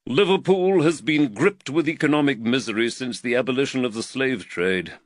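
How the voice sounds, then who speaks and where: A man reading an audiobook voices an earnest, fretful London magistrate, speaking higher, louder and more emphatically than in his narration. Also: moderate pace, dry recording, clean studio sound, lightly acted. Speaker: magistrate and employer.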